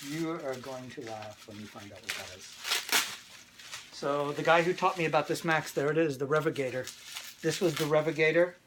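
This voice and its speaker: Excitable chatty man over clear background noise. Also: fairly high pitch, normal speed. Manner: chatty, enthusiastic, giggly. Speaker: museum presenter showing antiques. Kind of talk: casual excited show-and-tell.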